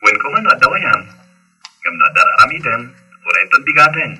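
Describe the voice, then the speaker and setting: An actor with an Ilocano accent playing a friendly suitor in a radio drama. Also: male voice, medium pitch, very animated, fairly quick, sharp tone, husky, faint music behind, full character voice, poor audio. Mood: inviting.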